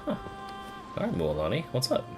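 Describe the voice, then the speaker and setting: A man's voice: medium pitch, wildly swooping pitch, brisk. A man streaming a game with casual live commentary, exclaiming and musing aloud to himself. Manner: exclaims and muses aloud to self. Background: game music.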